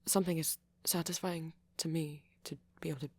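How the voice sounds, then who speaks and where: A woman voicing a shy, anxious, apologetic young-looking male vampire in an ASMR roleplay, speaking softly and hesitantly with nervous stammers and half-whispers. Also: sits low, steady tone, brisk, dry recording. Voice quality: soft, breathy, often half-whispered. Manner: hesitant, stammering, self-conscious. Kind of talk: ASMR roleplay monologue to a listener.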